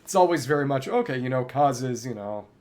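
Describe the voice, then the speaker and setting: A man's voice: mid-range voice, expressive, fairly quick, a little mellow. A man chatting casually on a gaming livestream, wordy and thoughtful, often hesitating as he searches for words. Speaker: game streamer. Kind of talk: casual rambling livestream chat while gaming. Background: game music.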